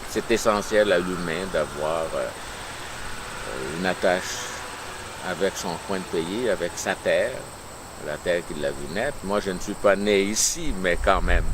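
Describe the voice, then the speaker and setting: A man giving an unscripted personal statement on his political views, with halting, deliberate phrasing. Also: low voice. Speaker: local resident giving a personal testimonial. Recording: clear outdoor nature noise, insects.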